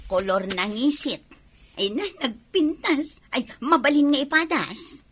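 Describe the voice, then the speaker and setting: A woman's voice: sits high, expressive, a little slow, breathy edge, exaggerated voice. A woman with an Ilocano accent playing a vain, brazen young woman who shrugs off her debts in a radio drama. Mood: coveting, wheedling. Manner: defiant, flippant.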